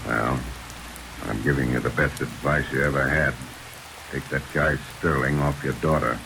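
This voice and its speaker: An actor playing a tough, skeptical detective in 1940s radio drama style, with a dry, blunt, wisecracking delivery. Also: male voice, steady tone, moderate pace, gravelly. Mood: dry, insistent. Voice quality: deep. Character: tough, skeptical male detective under arrest. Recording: old radio recording under hissing rain.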